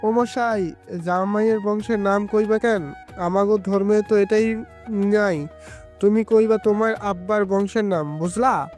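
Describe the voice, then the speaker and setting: A woman's voice: mid-range voice, unhurried, darkish tone, faint music behind, close to an everyday voice. A woman voicing a stern, scolding village father with a grave, blunt manner and a Bangladeshi Bengali dialect, in an audio story.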